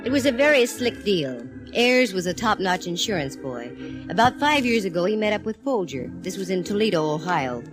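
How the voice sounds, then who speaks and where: A woman's voice: medium pitch, big pitch swings, moderate pace, lightly acted. An actress playing a sassy woman private eye in a 1940s radio drama, breezy and wisecracking. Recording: old radio broadcast with faint organ music.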